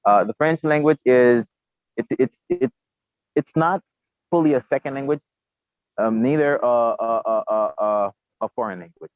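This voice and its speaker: Halting Haitian-accented man on a tinny line. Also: mid-range voice, no room sound. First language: Haitian Creole. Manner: halting, repeats words and fillers. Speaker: podcast guest, polyglot. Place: outdoors, remote.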